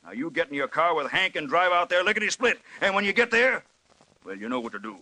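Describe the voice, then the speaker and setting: An actor playing a ruthless folksy small-town boss with a rural American drawl and a blustering, commanding manner, in an old radio serial. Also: male voice, a little high, big pitch swings, fast, pushed and bright, heavily acted, low-quality recording. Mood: menacing, commanding. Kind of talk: 1940s radio serial acting.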